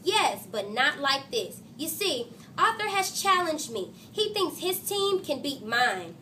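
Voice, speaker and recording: Woman voicing a bright, boastful, high-pitched schoolgirl. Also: very animated, slow pace, a little hiss or hum, heavily acted. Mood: eager, boastful. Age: child. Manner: insistent, boastful. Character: confident, competitive, dismissive schoolgirl who loves sports. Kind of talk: storybook character read aloud.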